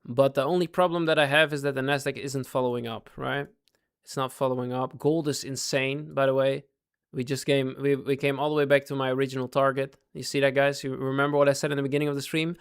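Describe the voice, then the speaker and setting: A man's voice: medium pitch, level tone, quick pace, vocal fry, clean tone. Man streaming live trades, losing his voice. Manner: talks in fits and starts, repeats himself, asks chat to follow. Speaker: day trading livestreamer. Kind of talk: live trading commentary to chat.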